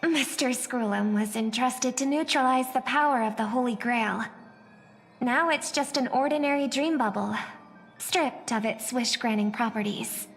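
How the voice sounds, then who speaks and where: An actress playing a gentle, gracious young female star, speaking politely and composedly as a game character, in a reverberant recording. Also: a little high, normal speed, soft background music, close to an everyday voice. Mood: reflective. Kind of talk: scripted game character dialogue.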